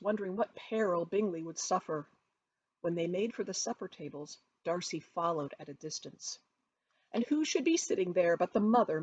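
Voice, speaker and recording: A woman reading her novel aloud as expressive storybook narration, with dramatic, emphatic phrasing. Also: lowish voice, slightly rough. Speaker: author reading from their own novel.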